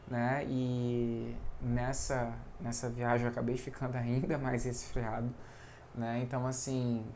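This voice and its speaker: Brazilian guy doing a tarot vlog, lots of fry in the voice, chatty, bit of background hiss. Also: medium pitch, a little mellow. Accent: Brazilian Portuguese. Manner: chatty, with frequent tag questions. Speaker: tarot reader. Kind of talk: casual tarot reading vlog.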